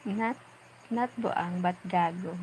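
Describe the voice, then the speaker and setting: A woman in her forties with a Filipino accent, chatting casually on a livestream as she reads viewer comments aloud and answers them in a playful, unhurried way. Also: lowish voice, a little mellow, a little hiss or hum, low-quality recording. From Philippines. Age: 40s. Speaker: vlogger on a late-night livestream.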